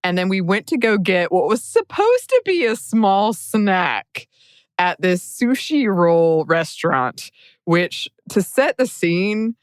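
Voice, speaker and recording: A woman's voice: on the low side, clear, resonant tone, no echo, studio quality. A woman co-hosting a podcast, telling an anecdote in a casual, conversational way. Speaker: podcast co-host.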